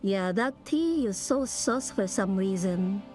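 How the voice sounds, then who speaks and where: Slow-paced woman doing casual game commentary. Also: medium pitch, animated, a little mellow, soft background music. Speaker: gamer playing through a story quest. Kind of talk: casual gameplay commentary, reading dialogue aloud.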